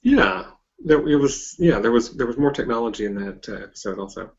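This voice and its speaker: A man hosting a casual interview, speaking in a hesitant, halting way and often repeating himself. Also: medium pitch, expressive, muffled recording. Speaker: podcast interviewer, host.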